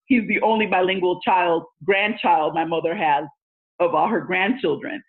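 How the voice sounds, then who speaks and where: A woman's voice: on the low side, moderate pace. Woman on a tinny phone line. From United States. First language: Spanish. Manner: earnest, thoughtful, many fillers. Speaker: guest, education leader. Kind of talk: casual interview answers.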